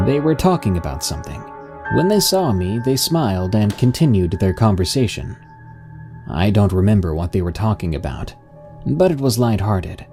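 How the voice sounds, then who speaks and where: A man reading a first-person story in an even, measured storytelling style. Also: on the low side. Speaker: story narrator. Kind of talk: scripted first-person narration. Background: music.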